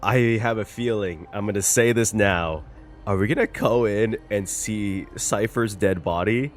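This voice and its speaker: A man doing casual, expressive game commentary as a reaction streamer, swearing casually and exclaiming in dismay. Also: fairly low pitch, a little slow. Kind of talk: casual game commentary, reading story text aloud. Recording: game music behind the voice.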